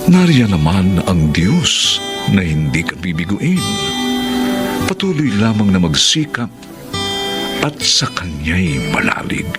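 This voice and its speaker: A man narrating and announcing a radio drama in a solemn, measured, dramatic scripted style. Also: deep voice, wildly swooping pitch. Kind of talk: slow scripted moral narration and credits. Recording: loud music behind the voice.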